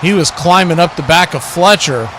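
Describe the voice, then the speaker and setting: A man doing live play-by-play football commentary for a stream, in clipped phrases that grow excited on big plays. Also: fairly high pitch, normal speed, slight background noise.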